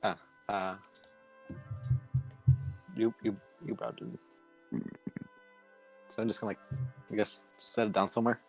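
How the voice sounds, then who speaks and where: A man's voice: fairly low pitch, flat delivery, unhurried, long pauses, poor audio. A man talking casually as a player in an improvised tabletop roleplaying game. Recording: music playing behind the voice. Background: background music.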